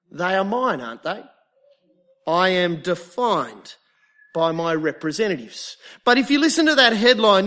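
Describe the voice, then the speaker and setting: Wry Australian preacher with a high voice. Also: male voice, a bit bright. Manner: wry, self-mocking humour, then earnest prayer. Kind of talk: sermon.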